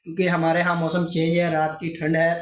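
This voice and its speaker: Man, high voice, sore throat, chatty vlog talk, faint background noise. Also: completely flat delivery, a little fast. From Pakistan. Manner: chatty, addresses viewers often. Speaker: vlogger. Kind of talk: casual vlog narration to viewers. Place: hot store room in a village house.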